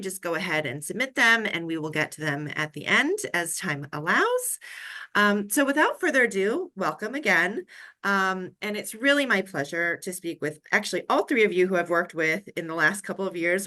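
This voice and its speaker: A woman's voice: medium pitch, sharp tone. A woman moderating an online panel as a career coach, speaking to an audience and to panelists.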